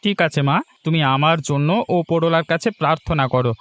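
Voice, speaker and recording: A man's voice: on the high side, steady tone, a little fast, fairly bright tone, no echo, lightly acted. A man voicing a poor, idealistic young village son in a cartoon, earnest and resolute. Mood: resolute, reassuring. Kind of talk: Bengali fairy-tale cartoon dubbing.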